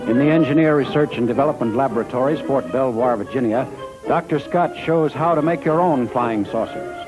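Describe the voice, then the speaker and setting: A man's voice: medium pitch, a little fast, poor audio. An American man delivering scripted narration in 1950s newsreel style. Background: music.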